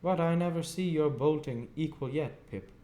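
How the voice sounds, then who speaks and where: A man's voice: on the high side, moderate pace, warm tone, reverberant, voice pushed up, natural voice. A man voicing a kindly, anxious working man from a storybook, earnest and plain-spoken with rustic grammar. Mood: solemn confiding. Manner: earnest, muttering, rustic ungrammatical speech.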